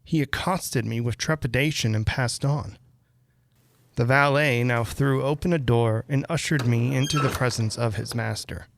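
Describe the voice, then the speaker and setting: A man reading a Gothic tale aloud as a literary narrator, in deliberate phrases with frequent pauses. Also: medium pitch, gravelly, slight background noise. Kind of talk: measured literary audiobook narration.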